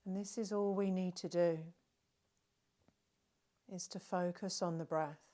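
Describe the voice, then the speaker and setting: A woman guides a relaxation session in a gentle, soothing manner. Her voice sits a little low and stays very even, moving very slowly with long pauses between short phrases. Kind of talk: guided meditation narration.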